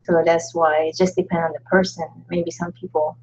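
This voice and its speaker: Woman, husky, lowish voice, halting casual chat, lo-fi audio. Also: flat delivery, darkish tone. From Indonesia. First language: Indonesian. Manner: halting, chatty, laughs often. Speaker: co-host. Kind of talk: casual live-stream chat.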